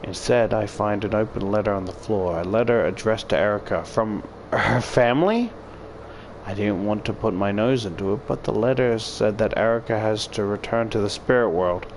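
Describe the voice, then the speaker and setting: A man's voice: lowish voice, normal speed, a little hiss or hum. A man narrating a first-person story dramatically and expressively, as if reading a visual novel aloud. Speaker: visual novel reader, YouTuber. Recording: loud background music. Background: loud music.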